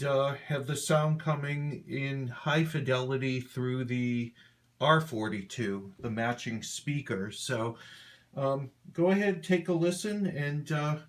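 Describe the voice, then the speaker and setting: Regular guy with a mid pitched voice, casual halting intro, lots of pauses. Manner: hesitant, halting delivery. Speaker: presenter of a vintage audio demonstration.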